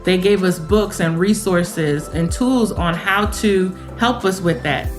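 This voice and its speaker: A woman's voice: lowish voice, slow, faint music behind. A woman veteran giving an earnest, reflective testimonial. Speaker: veteran in a charity ad.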